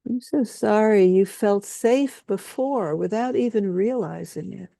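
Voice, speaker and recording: A woman speaking a slow, solemn spoken-word lament, with repetitive phrasing and long pauses. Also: mid-range voice, gravelly, dark tone, no room sound, clean studio sound.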